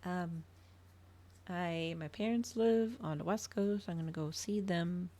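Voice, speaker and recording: A woman's voice: lowish voice, measured pace, mellow. A woman livestreamer chatting casually to her viewers, rambling and thinking aloud as she goes. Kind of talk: casual chat to stream viewers.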